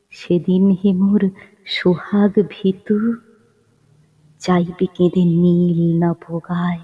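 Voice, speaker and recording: Woman reciting a poem dramatically, super slow, husky breathy voice, big echoey reverb, kinda muffled recording. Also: fairly low pitch. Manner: expressive, pausing, swelling and dropping to hushed refrains. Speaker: poetry reciter. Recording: dull lo-fi sound, long reverb.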